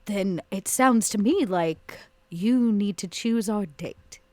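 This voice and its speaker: A woman's voice: medium pitch, takes it slowly, darkish tone, no room sound. A woman playing a tabletop role-playing game, speaking in character with a teasing, flirtatious manner. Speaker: player.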